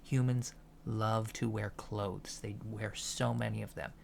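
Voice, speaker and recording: A soft-spoken man giving a deadpan, matter-of-fact ASMR roleplay lecture as a college teacher. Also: lowish voice, unhurried, gravelly, a little hiss or hum. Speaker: ASMR performer playing an alien college lecturer.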